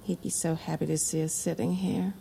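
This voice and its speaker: An actress playing a blunt, free-spirited young heiress in a screen drama, talking in a wry, unguarded way. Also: female voice, low-pitched, level tone, fairly quick, vocal fry, mellow, a little hiss or hum. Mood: wistful, playful. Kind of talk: naturalistic screen drama acting.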